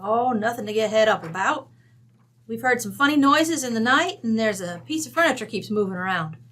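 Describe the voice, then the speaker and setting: A woman's voice: a little high, expressive, fairly quick, a bit bright. A woman playing a brash, folksy, middle-aged rancher widow with an American West accent, blunt, bossy and slangy, in an old-time radio drama. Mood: matter-of-fact.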